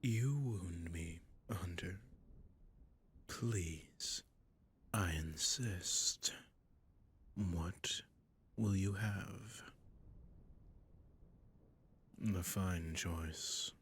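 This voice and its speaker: A man playing a suave, sadistic vampire lord in an intimate audio roleplay, with a breathy, courtly, teasing murmur. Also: full character voice. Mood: silky, insistent. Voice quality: low, breathy, gravelly.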